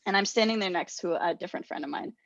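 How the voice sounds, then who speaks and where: A woman's voice: on the low side, fast talker, vocal fry, dry recording, dull audio. A young adult woman co-hosting a podcast, telling a rambling, self-deprecating story with lots of laughter. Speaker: podcast co-host. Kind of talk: casual storytelling chat.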